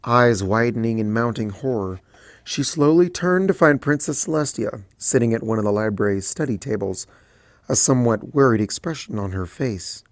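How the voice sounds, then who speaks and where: Guy reading a pony fanfic, steady middle voice, dry close home mic. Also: mid-range voice, normal speed, no room sound. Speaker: narrator.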